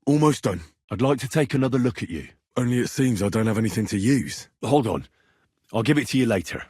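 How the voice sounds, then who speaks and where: A man's voice: medium pitch, lively intonation, brisk, darkish tone, breathy edge, no echo, clean studio sound. An actor playing an earnest, reassuring young medieval man tending the sick in a video game, speaking plainly and practically. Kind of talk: video game character dialogue.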